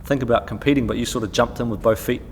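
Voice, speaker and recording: A man's voice: medium pitch, steady tone, very fast, big-room sound, slight background noise. A man hosting an interview, asking casual questions with frequent uhs and restarts. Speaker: interviewer, coach.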